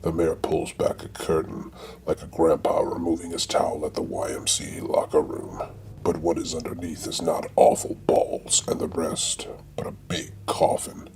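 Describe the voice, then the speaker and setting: A man reading scripted comic narration for an audio drama in a deadpan way. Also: extremely low pitch, normal speed, in a whisper.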